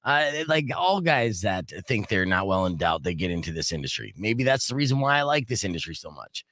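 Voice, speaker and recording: A man with a deep voice hosting a live radio show, joking and poking fun at himself. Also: fairly quick, no echo, clean studio sound. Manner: joking, self-mocking, lively. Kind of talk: casual radio banter. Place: radio studio. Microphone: studio microphone.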